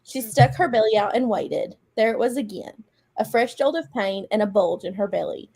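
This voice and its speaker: A woman's voice: medium pitch, moderate pace, vocal fry, a little mellow, breathy edge, poor audio. A woman giving a dramatic reading of a story, mixed with casual chat among friends. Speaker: guest reader on a live show.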